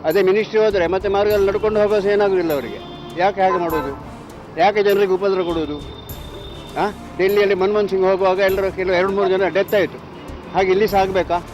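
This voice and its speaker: A high-voiced man with a Mangalore accent gives an indignant, questioning street interview. Music plays clearly behind his voice. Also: steady tone, fast, poor audio. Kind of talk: street vox pop.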